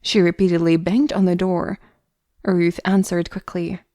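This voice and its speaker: A woman narrates a scripted audio story. Her voice sits a little low and is dark and relaxed. She tells it as the narrator. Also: measured pace, dry recording.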